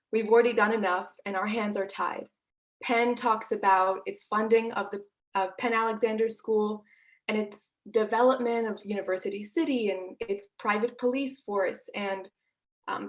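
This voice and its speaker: A woman presenting as an activist, speaking emphatically and with indignation as she makes her case. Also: medium pitch. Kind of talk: advocacy presentation.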